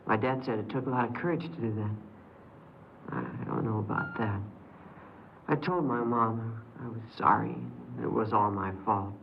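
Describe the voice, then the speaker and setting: A man's voice: lowish voice, gravelly, a little hiss or hum. A hesitant, frightened teenage runaway boy. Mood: subdued, sincere. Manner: hesitant, halting, stammering. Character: frightened, remorseful fifteen-year-old runaway boy confessing a robbery. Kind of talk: 1950s radio crime drama acting. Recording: dull old 1950s radio recording.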